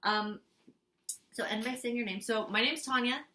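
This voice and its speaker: Giggly woman with a bright voice. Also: fairly high pitch, normal speed, reverberant. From Switzerland. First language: Swiss German. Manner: giggly, jokey, fills gaps with "um". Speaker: contestant. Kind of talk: casual audition tape. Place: small apartment at home.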